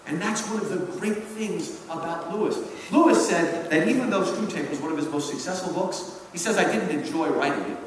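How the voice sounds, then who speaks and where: A man giving an animated public lecture as a college teacher, with an emphatic, theatrical storytelling manner. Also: high voice, moderate pace, few pauses. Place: large indoor hall at a public event. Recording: very echoey hall from far off, faint noise.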